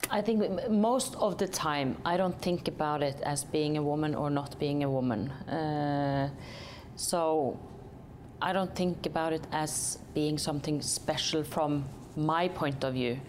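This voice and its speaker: Low-voiced exec lady, slow and hesitant, lots of uhs, bit of background hiss. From Norway. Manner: hesitant, many fillers and restarts. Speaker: company chief executive, interview guest. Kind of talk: reflective interview answers.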